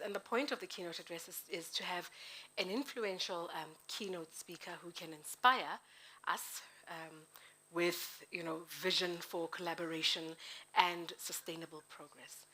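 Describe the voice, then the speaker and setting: A woman with a husky voice hosting a live event in a chatty, playful, informal way. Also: lowish voice, sharp tone. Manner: chatty, playful, with self-interruptions. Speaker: event host introducing a keynote speaker.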